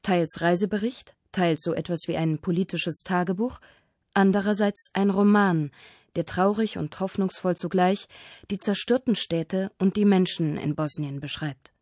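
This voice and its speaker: A woman's voice: on the low side, fairly even intonation, normal speed, darkish tone, dry recording, clean studio sound. A woman reading scripted narration for a radio feature in a composed, orderly way.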